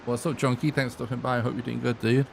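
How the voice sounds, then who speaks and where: A young man streaming live gameplay who is losing his voice, thinking aloud and clearing his throat often. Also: mid-range voice, little pitch movement, fast, slight background noise. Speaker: game streamer.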